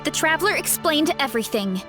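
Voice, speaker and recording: A woman's voice: high-pitched, expressive, brisk, over music. A woman playing an earnest, sensible young tribeswoman in a video game.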